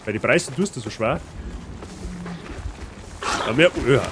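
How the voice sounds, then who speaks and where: A man's voice: medium pitch, expressive, slow pace, music in the background. Chatty Bavarian man streaming a game. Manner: chatty, replies to chat while playing. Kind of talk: casual let's-play chat. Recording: noisy stream audio.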